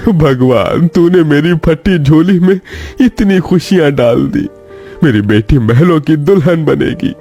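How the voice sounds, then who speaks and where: A man's voice: fairly high pitch, animated, unhurried, gravelly, dark tone, faint music behind. A man playing a humble, emotional poor father of a bride in a cartoon story, with a pleading manner. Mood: tearful gratitude. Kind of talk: Hindi cartoon story dialogue.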